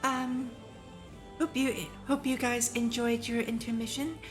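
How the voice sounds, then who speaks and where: A woman livestreamer reading a visual novel aloud and chatting casually, with a giggly, expressive manner. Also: fairly high pitch, unhurried, music in the background. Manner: giggly, expressive, exclaims in reaction to the text. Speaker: VTuber streamer hosting a visual novel read-along.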